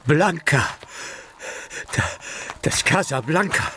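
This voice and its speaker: A man's voice: fairly high pitch, lively intonation, takes it slowly, a bit bright, a little hiss or hum. A man playing a hard-boiled, world-weary detective in a radio play, speaking in terse, clipped sentences. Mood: desperate excitement. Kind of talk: radio play narration, noir.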